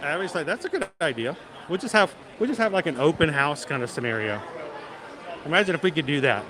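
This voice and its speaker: A man with a slightly raised voice hosts a relaxed livestream, joking with his viewers and often tripping over and repeating words. He is outdoors in a busy theme park on a handheld mic. Crowds chatter clearly all around him. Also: fairly high pitch, a little fast, rough recording. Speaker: livestream host. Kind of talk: casual live-stream chat with viewers.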